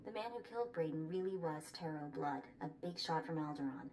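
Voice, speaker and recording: A confident woman handler in a video game, her voice on the low side. She briefs briskly and plainly, a little far from the mic over faint noise. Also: animated, a little mellow. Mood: businesslike. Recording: slightly distant, faint background noise.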